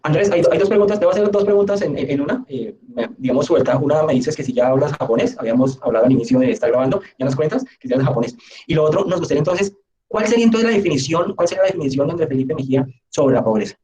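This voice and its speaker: A man's voice: a little high, animated, rapid-fire, mellow. A man interviewing a guest, asking questions hesitantly and repeating his words.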